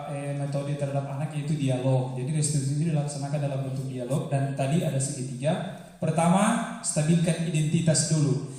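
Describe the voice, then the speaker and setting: A man's voice: on the high side, dark tone. Man explaining in echoey hall. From North Sulawesi, Indonesia. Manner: hesitant, many "eh" pauses, explains loosely. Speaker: teacher presenter. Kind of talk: informal workshop talk. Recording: echoey hall with faint music.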